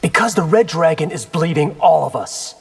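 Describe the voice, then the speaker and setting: An actor voicing a confident young warrior in a fighting video game, cocky, wry and determined. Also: male voice, a little high, lively intonation, normal speed, vocal fry, long reverb tail, faint music behind. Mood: defiant. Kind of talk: video game character acting.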